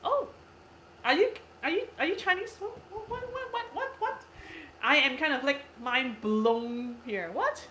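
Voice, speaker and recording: Bright chatty woman, Cantonese native. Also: high-pitched, animated, a little slow, slight background noise. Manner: chatty and giggly, repeats herself. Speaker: live stream host. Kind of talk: casual live stream chat reading comments. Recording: echoey room.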